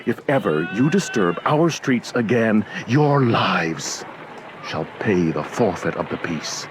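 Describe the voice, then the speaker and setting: An actor playing a stern, authoritative prince in a classical radio drama, with solemn, commanding declamation. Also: male voice, on the low side, sing-song, takes it slowly. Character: stern, authoritative ruling prince in Shakespearean tragedy. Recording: noisy outdoor-sounding drama scene.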